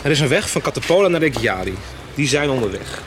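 An actor playing a bossy, coarse, menacing pursuer in a radio soap, with a curt delivery. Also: male voice, mid-range voice, very animated, fast talker, noisy background, natural voice. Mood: curt, weary.

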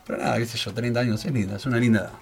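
A man's voice: medium pitch, steady tone, very fast, vocal fry, soft background music. Man, 30s, Argentine Spanish accent, laughs a lot, rambling casual chat, comedian guest. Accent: Rioplatense Spanish. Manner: laughs often, rambling with many fillers.

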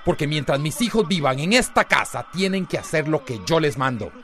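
Domineering Costa Rican father, swinging pitch. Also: big pitch swings, a little fast, a bit bright, faint music behind. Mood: stern, angry. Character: strict, distrustful, domineering father and husband. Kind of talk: radio soap opera acting.

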